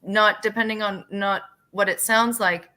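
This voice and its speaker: A woman from Canada giving informal spiritual teaching to a group in an earnest, reflective and somewhat halting way. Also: mid-range voice, fairly even intonation, sharp tone. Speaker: singer leading a drumming circle.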